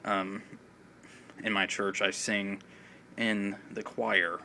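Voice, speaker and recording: A man with a raspy voice and a cough, chatting in a casual, rambling way about films with many fillers. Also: on the low side, little pitch movement, slow, bright, forceful tone, a little hiss or hum. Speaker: film vlogger. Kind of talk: casual video chat. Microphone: phone.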